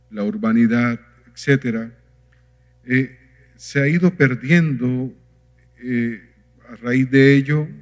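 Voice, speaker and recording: A man moderating a forum panel, formal and unhurried with some hesitant fillers. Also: mid-range voice, a little mellow. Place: conference hall. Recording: reverberant hall.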